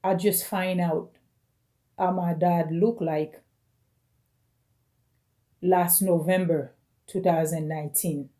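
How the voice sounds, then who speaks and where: Lady in her 40s, halting personal vlog, lowish warm voice. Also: steady tone. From Caribbean. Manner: slow, halting, phrase by phrase. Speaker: vlogger. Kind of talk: personal video message.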